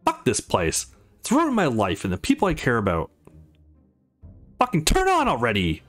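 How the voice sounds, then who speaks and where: Anxious young game hero, read by a man, very animated. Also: medium pitch, normal speed, faint music behind. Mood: angry, desperate. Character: anxious, hot-tempered young man, stranded and desperate. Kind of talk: visual novel dialogue read aloud.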